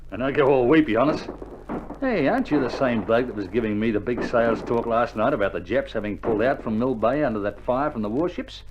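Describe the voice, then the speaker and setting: An actor playing a sick Australian soldier, an anxious but loyal mate with blunt, colloquial speech, in a dramatised wartime radio play. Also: male voice, mid-range voice, brisk, dull audio, background noise, close to an everyday voice. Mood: chiding. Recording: old vinyl radio drama, prominent music behind.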